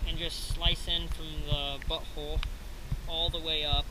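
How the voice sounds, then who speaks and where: A man's voice: fairly high pitch, level tone, measured pace, sharp tone, low-quality recording. A young man on a rocky riverbank, explaining step by step in a casual way, recorded on an action camera. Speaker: angler showing how to clean fish. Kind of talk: casual how-to narration. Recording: outdoor camera audio with noise.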